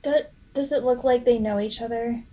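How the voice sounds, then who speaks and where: A woman's voice: fairly high pitch, moderate pace, mellow. A woman talking casually as a player in a tabletop game.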